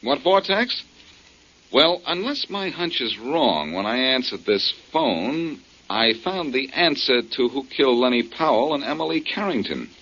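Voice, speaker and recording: An actor playing a quick-witted, sardonic, self-assured amateur detective in a 1940s radio drama, with a glib way of talking. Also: male voice, mid-range voice, very animated, sharp tone, exaggerated voice. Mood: confident probing. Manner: wisecracking, glib. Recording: dull lo-fi 1940s radio recording.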